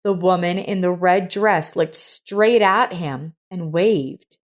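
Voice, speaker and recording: A woman reading a scripted story as narrator, in a measured, deliberate manner. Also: lowish voice.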